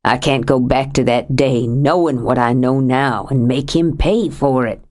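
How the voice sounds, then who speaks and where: A woman voicing a grieving, stubborn middle-aged widower who speaks low, heavy and bitter in an audiobook. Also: unhurried, a little mellow. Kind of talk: audiobook character reading.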